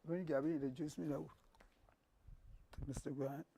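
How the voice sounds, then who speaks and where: Older guy, gravelly voice, rambles on with lots of restarts telling stories. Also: on the high side, moderate pace. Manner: rambling, animated storytelling with repeated restarts. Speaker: calypsonian guest. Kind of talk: casual interview storytelling.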